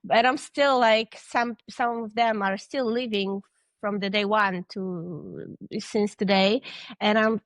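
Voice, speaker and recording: A woman's voice: mid-range voice, steady tone, slow, no echo. A young woman with a Polish accent, a guest in a casual interview, hesitant and searching for words in English. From Poland. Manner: hesitant, searching for words, frequent restarts and light laughs. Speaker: podcast guest, triathlete.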